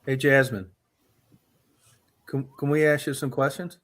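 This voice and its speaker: Host, mid pitch, calm, steadying, asking questions. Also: unhurried. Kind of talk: casual chat.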